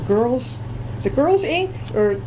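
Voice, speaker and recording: A woman's voice: sits high, very animated, slow pace. Older woman host, chatty and encouraging, noisy recording. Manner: chatty, encouraging, tells anecdotes. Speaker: community TV host. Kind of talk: casual interview chat.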